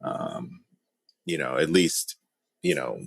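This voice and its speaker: A man taking part in a casual panel discussion, talking in a tentative, halting, conversational way. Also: rumbling low, wildly swooping pitch, creaky, breathy edge, dry recording, clean studio sound. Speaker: panel participant.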